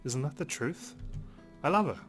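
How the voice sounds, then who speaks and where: A man's voice: on the high side, animated, moderate pace, soft background music. A man reading a visual novel aloud in dramatic first-person narration for a let's play.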